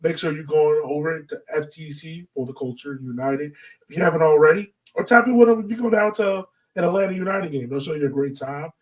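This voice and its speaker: A man's voice: on the high side, brisk, far from the mic, low-quality recording. An American man hosting a podcast in a casual, informal way, speaking directly to his listeners from a little way off the mic. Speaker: podcast host.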